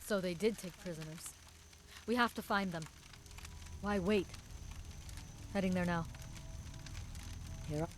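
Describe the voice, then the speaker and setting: An actress playing a tough, determined resistance commander in a strategy game, speaking in terse, commanding lines. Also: female voice, lowish voice, takes it slowly, long pauses, soft background music. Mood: resolute. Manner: terse, commanding, battle-ready. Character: tough, determined woman resistance commander in a post-apocalyptic war. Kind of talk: strategy game hero dialogue and unit barks.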